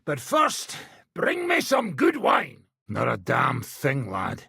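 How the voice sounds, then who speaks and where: Casting: brash middle-aged medieval mercenary lord, very gravelly, wildly animated delivery, sardonic soldier for a game. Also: male voice, mid-range voice, takes it slowly, no echo, clean studio sound, heavily acted. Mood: sardonic, gruff. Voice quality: raspy, gravelly. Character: gruff, swaggering middle-aged mercenary nobleman, foul-mouthed medieval soldier. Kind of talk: video game voice acting.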